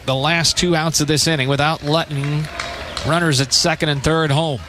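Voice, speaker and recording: A man calling live baseball play-by-play on the radio in measured, clipped phrases with pauses between them. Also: on the high side, steady tone, crowd noise, noisy background. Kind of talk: live radio sports commentary.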